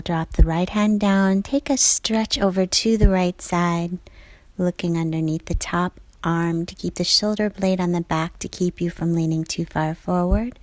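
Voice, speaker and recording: A woman leading a guided yoga class, giving calm, measured cues in short phrases, with a soft voice that turns breathy at phrase ends. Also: fairly low pitch, no room sound, low-quality recording. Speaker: yoga instructor.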